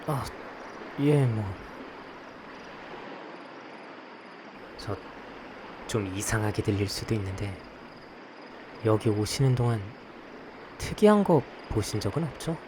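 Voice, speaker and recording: A man's voice: on the low side, lively intonation, unhurried, pauses often, background noise. A man playing a guarded young investigator in a visual novel, narrating and talking in a subdued, hesitant way. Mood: hesitant, polite. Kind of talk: scripted first-person narration and dialogue. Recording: clear night insect ambience behind voice.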